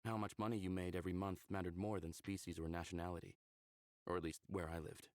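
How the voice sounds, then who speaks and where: A man's voice: low voice, flat delivery, fast, no room sound, clean studio sound, natural voice. A man playing a friendly, curious human lover in a visual novel, speaking casually and inquisitively. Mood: curious, sympathetic. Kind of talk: visual novel character acting.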